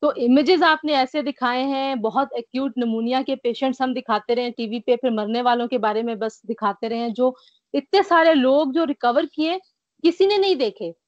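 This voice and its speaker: A woman's voice: sits high, normal speed, no echo. A woman, tired and a little short of breath with a mild cough, earnestly describing her illness as an interview guest over a video call. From Pakistan. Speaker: guest recovering from covid. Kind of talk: remote interview. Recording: dry, dull lo-fi call audio.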